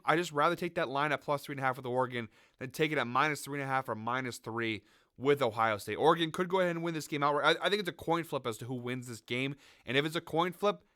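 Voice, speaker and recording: A man hosting a sports betting show, chatting casually through his picks while sick, with a raspy, nasal voice. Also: on the high side, pushed and bright, no echo, clean studio sound. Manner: conversational, hedging, frequent fillers. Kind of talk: casual picks commentary to camera.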